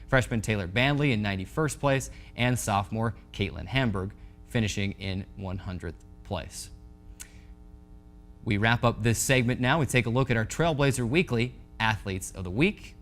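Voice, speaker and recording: A man in his twenties hosting a sports news show, reading scores in a scripted presenting style from a TV studio on a lapel mic. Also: on the low side. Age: 20s.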